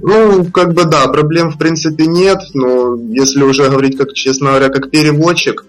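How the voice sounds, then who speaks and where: A man, a freelance translator, answering podcast interview questions in a measured, explanatory way with fillers and restarts. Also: fairly high pitch, steady tone, soft background music, low-quality recording.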